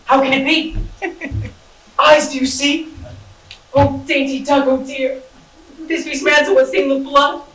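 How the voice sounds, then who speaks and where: A woman's voice: high voice, little pitch movement, unhurried, pauses often, a bit bright. A woman voicing Pyramus, a lovesick young hero, declaiming in mock-tragic, overdramatic amateur stage acting. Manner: declaiming, mock-tragic, laughing through lines. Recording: echoey room from far off, faint noise.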